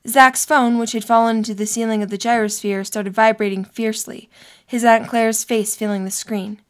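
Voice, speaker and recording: A woman's voice: fairly high pitch, fairly even intonation, moderate pace. A woman narrating a scripted story for an audio drama.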